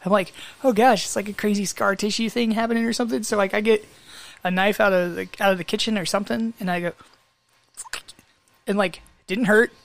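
A man co-hosting a casual chat, telling stories in an animated way and laughing often. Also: high-pitched, fairly quick, gravelly. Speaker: podcast co-host.

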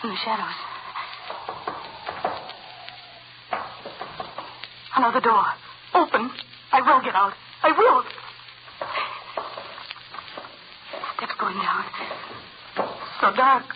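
An actress in an old radio suspense drama playing a spoiled, defiant young heiress who is trapped and panicking, speaking in melodramatic, clipped fragments. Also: female voice, fairly high pitch, expressive, moderate pace, long pauses, lightly acted. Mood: frantic, desperate. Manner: melodramatic, breathless clipped fragments in interior monologue. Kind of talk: 1940s radio suspense acting. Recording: muffled, crackling old-time radio recording. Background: loud crackle and hiss.

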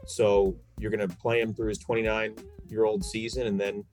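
Lower-voiced man debating sports. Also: little pitch movement, normal speed, darkish tone, soft background music. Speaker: sports podcast panelist. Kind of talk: casual sports podcast discussion.

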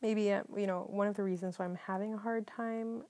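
A woman from the Philippines hosting a solo podcast, talking in a calm, mellow way, partly whispered, with many ums. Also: mid-range voice, fairly quick, no room sound. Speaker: podcast host. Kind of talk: soft-spoken solo podcast monologue.

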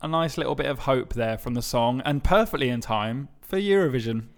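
A man's voice: medium pitch, lively intonation, brisk. An easygoing man co-hosting a chatty podcast. He laughs often and trips over his words as he talks. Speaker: podcast co-host. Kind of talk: casual chat.

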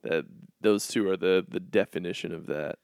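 A young man co-hosting a casual podcast chat, talking in halting bursts with frequent restarts and easy laughs. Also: sits low, creaky, a little mellow, clear, resonant tone, dry recording, clean studio sound. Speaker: podcast co-host.

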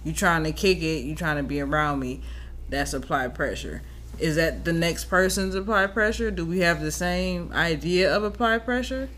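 A woman's voice: lowish voice, normal speed, fairly bright tone, a little hiss or hum. An American woman co-hosting a podcast, chatting casually in a blunt, informal, profane way. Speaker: podcast co-host. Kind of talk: casual chat.

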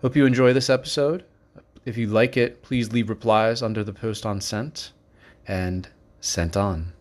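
A man hosting a podcast interview, reading a steady intro and then asking casual, friendly questions. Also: lowish voice, measured pace. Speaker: podcast host.

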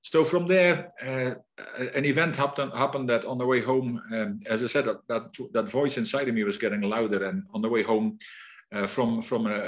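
A middle-aged man from the Netherlands with a Dutch accent, talking reflectively as an interview guest, often restarting his sentences. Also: mid-range voice. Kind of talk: conversational interview.

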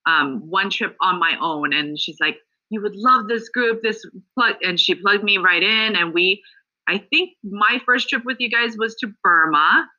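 Slow, very bright woman, lo-fi sound. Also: medium pitch. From Alaska. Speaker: guest sharing travel stories. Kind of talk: casual personal storytelling.

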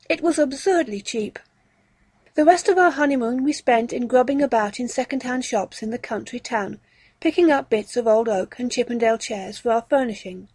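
Lady narrating a classic audiobook, kinda breathy husky voice, measured reading, very dry recording. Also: high-pitched, warm tone, no room sound. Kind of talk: scripted narration of a classic ghost story.

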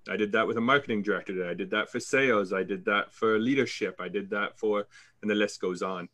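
A man's voice: lowish voice, brisk, sharp tone. A man with a Canadian accent, a sales coach answering interview questions in a confident, fluent storytelling way.

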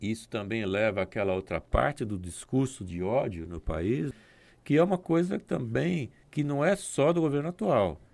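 A man talks through his points slowly and carefully as a guest on a discussion program, often restarting his sentences. His voice is gravelly with plenty of fry, sitting at an ordinary mid pitch. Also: expressive, a little mellow, dry recording. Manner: measured, explanatory, with hesitant restarts.

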